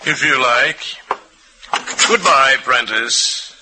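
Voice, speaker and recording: An actor playing an imperious older executive and secret embezzler, measured and condescending, in old-time radio drama style. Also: male voice, medium pitch, noisy background. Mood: stern, condescending. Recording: radio drama with music underscore.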